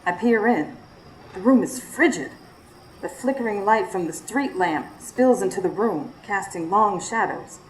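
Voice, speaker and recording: A woman's voice: mid-range voice, lively intonation, unhurried, lightly acted. A woman playing a sassy, superstitious Southern woman in a live radio drama, narrating her own confrontation dramatically. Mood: wary, bold. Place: studio before live audience. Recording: roomy live stage, faint noise.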